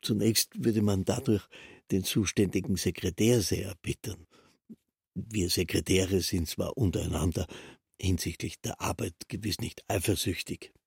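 A musing male secretary in a literary radio play, circling pedantically through his explanations. His voice is lowish and badly frayed with rasp, recorded dry and close. He is deliberate and long-winded. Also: fairly even intonation, a little mellow, dry recording, full character voice. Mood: earnest, pedantic. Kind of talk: German literary radio drama acting.

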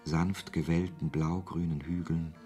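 An actor playing a wry author who narrates his story in a measured, literary way in a German radio play. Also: sits low, flat delivery, dark tone, soft background music.

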